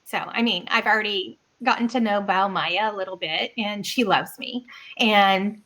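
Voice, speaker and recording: A woman's voice: mid-range voice, moderate pace, sharp tone. A young woman roleplaying playfully in an online tabletop game, with a chirpy, eager way of talking. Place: home room on a video call.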